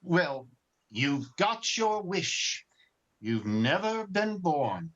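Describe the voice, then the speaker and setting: A man playing a gentle, earnest, bumbling guardian angel with a hesitant, genteel manner in 1940s radio drama style, recorded over a video call. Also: a little high, big pitch swings, very slow, heavily acted. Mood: delighted, mysterious. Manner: hesitant, old-fashioned, genteel. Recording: dull, muffled video-call audio.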